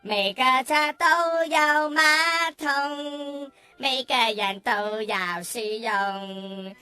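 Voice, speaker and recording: A high, playful little child's voice in a children's audio drama. The kid is excitable and cheeky, exclaiming freely, with light music behind. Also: female voice, very slow, clear, resonant tone, exaggerated voice. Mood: cheerful.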